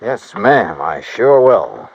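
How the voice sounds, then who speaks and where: An American actor playing a brisk, courteous, wry insurance investigator in noir radio drama, both narrating and in dialogue. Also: male voice, lowish voice, big pitch swings, creaky, husky, full character voice. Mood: calming, courteous. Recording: dull old radio recording.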